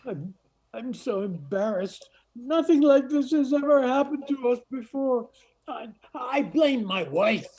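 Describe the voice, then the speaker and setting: A woman's voice: sits high, expressive, measured pace, a little mellow, slightly rough, full character voice, low-quality recording. An older woman playing a flustered, prim suburban husband in a comic radio play, stammering and indignant. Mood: embarrassed, prim.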